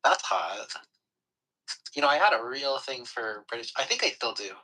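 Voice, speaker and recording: A young man chatting casually with his partner, relaxed and teasing, laughing often. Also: medium pitch, moderate pace, poor audio. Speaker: livestream co-host.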